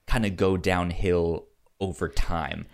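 A man co-hosting a film podcast in casual chat, haltingly repeating words as he thinks. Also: low-pitched, fairly even intonation.

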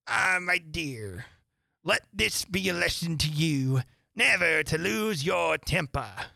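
A woman voicing an old mother crab in a storybook, moralising in a slow, preachy way. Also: low voice, animated, vocal fry, pushed and bright, no room sound, studio quality, voice pushed up, exaggerated voice.

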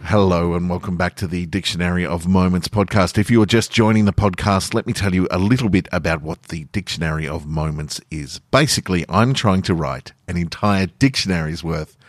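Man, low-pitched voice, dry close sound, podcast host, storyteller reading short fiction. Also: a little fast, hardly any pauses, dry recording. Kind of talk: chatty intro and dramatic first-person story narration.

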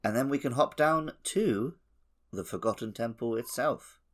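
A man's voice: mid-range voice, unhurried. A man co-hosting a podcast in a casual, conversational style about a video game. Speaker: podcast co-host.

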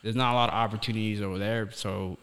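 A young man with a deep voice, originally from Somalia, talks earnestly on a casual podcast. He reflects philosophically and repeats words as he feels for a phrase. The sound carries a long, hall-like reverb. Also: fairly even intonation, fast talker, a bit bright, clear, resonant tone. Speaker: podcast co-host.

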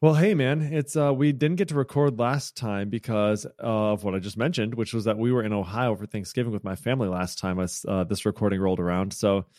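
Rambling American man, low dry voice. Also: a little fast, few pauses, darkish tone, dry recording. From United States. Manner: rambling, with many fillers and "like"s. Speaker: podcast co-host. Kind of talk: casual podcast chat. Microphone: wireless earbuds.

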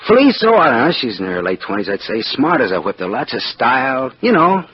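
An actor playing an excitable, self-absorbed writer husband, eager and chatty, in an old-time radio drama. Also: male voice, medium pitch, fairly quick, slightly rough, no echo, muffled recording. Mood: boyish, distracted.

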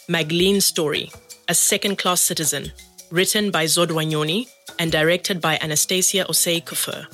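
A woman announces a podcast episode from a script. Her voice is bright and forward, and music plays behind her. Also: low voice. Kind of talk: scripted narration.

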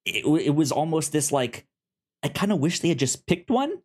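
An American man co-hosting a review podcast, talking casually in a halting, rambling way with stammered starts. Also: a little high, big pitch swings, a little mellow. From United States. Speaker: podcast co-host reviewing audio fiction.

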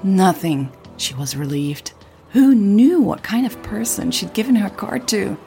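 A woman reading scripted audio drama narration in a husky voice. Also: medium pitch, lively intonation, unhurried, dark tone, soft background music.

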